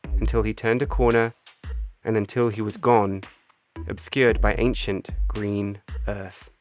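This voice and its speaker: Male author-narrator, lower register, solemn and deliberate delivery of literary fiction, faint music bed. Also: little pitch movement. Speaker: author reading own short story.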